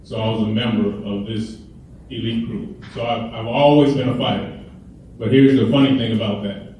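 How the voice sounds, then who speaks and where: A man's voice: mid-range voice, steady tone, moderate pace, darkish tone. A middle-aged man from Chicago giving a keynote speech and telling funny stories in a casual way. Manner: storytelling, humorous, conversational. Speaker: keynote speaker. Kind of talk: motivational speech. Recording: echoey hall, mic far off, faint hiss.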